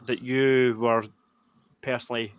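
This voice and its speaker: A man's voice: medium pitch, flat delivery, normal speed, smooth, no room sound. A man on a casual podcast, asking his co-hosts questions and laughing along. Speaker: podcast co-host. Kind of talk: casual chat.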